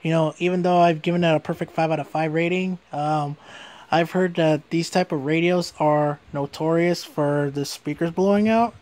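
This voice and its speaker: A man with a fairly high voice presents a hands-on gadget review. He talks informally, like an everyday hobbyist video, and tends to restate his points as he goes. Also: fairly even intonation, normal speed, darkish tone. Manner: informal, repeats and rephrases himself. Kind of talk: casual hands-on product review.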